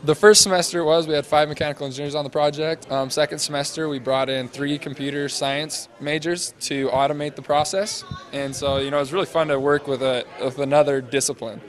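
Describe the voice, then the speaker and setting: A man in his twenties, a student interviewee, explaining a project off the cuff in casual, halting phrases into a handheld mic. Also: a little high, level tone. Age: 20s. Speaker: interviewee, senior engineering student presenting a project. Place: large glass-walled university hall or lobby. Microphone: handheld interview microphone held out to him.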